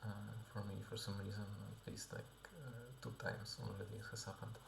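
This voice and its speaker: A man's voice: fairly low pitch, level tone, brisk, creaky, roomy sound, noisy background. A man talking through a casual video diary, hesitant and full of fillers and restarts, often dropping to a half-whisper. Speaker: vlogger and musician.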